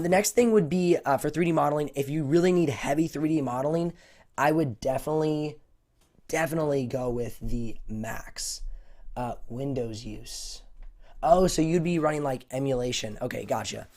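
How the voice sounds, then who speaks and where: A man with a voice hoarse from a cold, answering viewer questions on a casual livestream, rambling with lots of fillers. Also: mid-range voice, darkish tone. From United States. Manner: rambling, many fillers and restarts. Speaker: tech YouTuber hosting a livestream Q&A.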